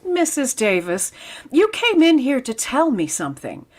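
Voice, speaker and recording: A wry woman schoolteacher played by an actress in a vintage radio comedy. Her voice is somewhat high, with plenty of movement in pitch. She delivers dry wisecracks with exasperated patience. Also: sing-song, normal speed.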